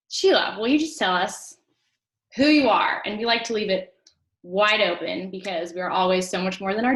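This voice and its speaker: A woman co-host speaking over a video call from an echoey room. She introduces the guest with open enthusiasm at a middle pitch. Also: a bit bright. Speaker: podcast co-host.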